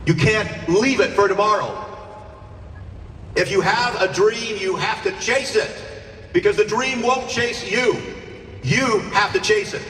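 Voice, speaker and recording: A man giving a slow, emphatic motivational speech. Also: high-pitched, a bit bright, slight background noise. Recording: distant, echoey hall clip.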